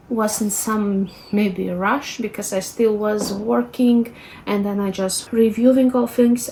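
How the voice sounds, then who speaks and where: Latvian woman vlogger, thirties, middle pitch, gentle and unhurried delivery. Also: a little hiss or hum, poor audio. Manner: soft-spoken, unhurried, many fillers and halting restarts. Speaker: lifestyle vlogger. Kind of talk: reflective planning walkthrough.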